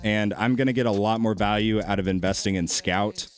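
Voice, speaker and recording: Laid-back man with a low, soothing voice. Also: steady tone, a little fast, soft background music. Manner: laid-back, thinking aloud, chatty with viewers. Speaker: game streamer. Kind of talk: casual gameplay commentary with chat.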